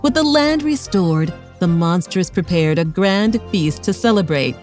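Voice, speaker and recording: Animated woman narrating a children's story. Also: on the low side, unhurried, soft background music. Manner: expressive read-aloud for young children.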